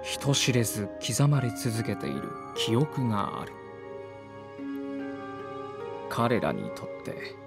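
A male actor playing a solemn, wistful chronicler, giving a measured dramatic prologue narration in a voice drama. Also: medium pitch, big pitch swings, vocal fry, over loud music. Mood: reflective.

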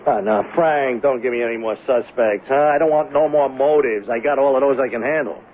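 A man's voice: mid-range voice, brisk, phone-quality sound, natural voice. An actor playing a weary, suspicious investigator in a 1970s radio mystery, folksy and needling as he reasons aloud. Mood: exasperated. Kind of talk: 1970s radio drama acting. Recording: thin, faintly noisy 1970s radio broadcast.

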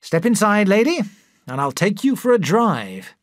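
A narrator voicing an ambitious young doctor in an audiobook, brisk and self-satisfied. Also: medium pitch, very animated, no echo, clean studio sound, voice pushed up. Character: ambitious young male doctor, proud and impatient. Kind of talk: audiobook character voice.

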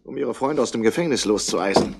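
A man's voice: mid-range voice, expressive, brisk, vocal fry, husky. An actor playing a haughty, irritable wealthy man in a 1960s radio play, speaking in a curt, formal way. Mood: cool, formal.

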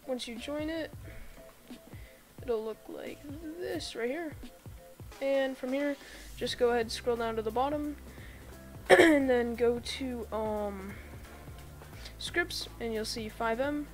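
Chatty girl doing a pc tutorial voiceover, kinda sick sounding, higher voice. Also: slow, soft background music. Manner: chatty, easygoing, talks straight to viewers. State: sick, voice a bit off. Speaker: YouTube tutorial creator. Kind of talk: casual screen-recorded tutorial voice-over.

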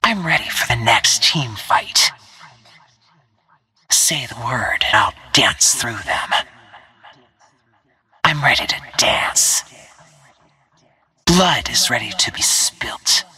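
A woman voicing a stealthy, cold-blooded assassin in a video game, with hushed, clipped, menacing short lines in a breathy, whispery voice. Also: a bass voice, expressive, slow, pauses often, exaggerated voice. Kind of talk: video game character callouts.

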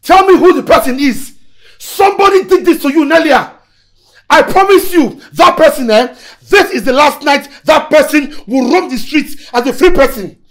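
A man's voice: a little high, very animated, normal speed, a little mellow. An actor playing an agitated, protective boyfriend in film dialogue, insistent and repeating himself as he pleads. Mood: angry, vowing. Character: adult boyfriend, agitated, protective, then disbelieving and placating.

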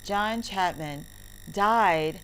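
A woman narrating a story lesson for English learners, reading deliberately word by word. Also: medium pitch, a bit bright. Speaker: teacher narrator. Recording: heavy background noise, clicks.

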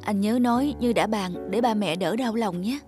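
A woman's voice: medium pitch, normal speed, close to an everyday voice. An actress plays a young married woman in a cartoon. She is earnest and polite, with a caring, worried temper. Music runs behind her voice. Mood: subdued. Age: young adult. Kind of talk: cartoon voice acting.

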